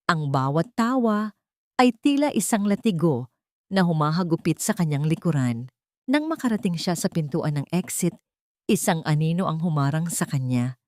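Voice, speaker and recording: A woman's voice: lowish voice, darkish tone, no echo, studio quality. A woman reading a scripted love story as a narrator, with a solemn, dramatic delivery.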